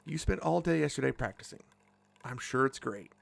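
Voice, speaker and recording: A woman playing a protective, cautious fey sibling in an audio drama, earnest and gently stern. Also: rumbling low, animated, moderate pace, vocal fry, full character voice.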